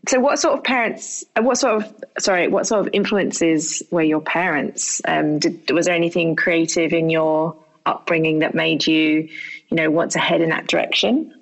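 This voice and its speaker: A woman's voice: low voice, fairly even intonation, normal speed, vocal fry, poor audio. A woman hosting a casual podcast interview, asking questions and chatting.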